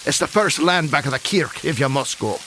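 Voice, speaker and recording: A man playing a gruff, superstitious Scottish cab driver in a comedy audio drama, with broad dialect and a gravelly voice. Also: a little high, lively intonation, brisk, sharp tone, slight background noise. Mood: ominous warning. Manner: broad dialect, ominous warnings.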